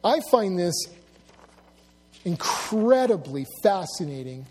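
A middle-aged male pastor preaching a sermon, swinging between loud emphasis and hushed, low intensity, with humorous asides. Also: big pitch swings, slow, a little hiss or hum. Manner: storytelling with humor, drawing laughs. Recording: long hall reverb.

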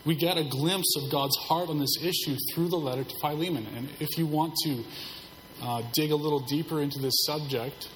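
A hoarse man preaching a sermon as pastor, keeping a measured, subdued delivery to spare his voice. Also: fairly high pitch, fairly even intonation, fairly bright tone. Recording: hall reverb, faint background noise.

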